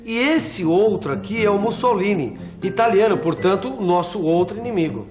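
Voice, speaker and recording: High-voiced, curious Brazilian man. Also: expressive, moderate pace, music in the background. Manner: colloquial, wondering. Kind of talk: scripted colloquial educational dialogue.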